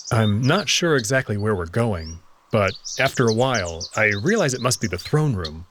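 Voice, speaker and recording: A man's voice: on the low side, a bit bright, slight background noise. A man narrating a first-person story in a dramatic reading style.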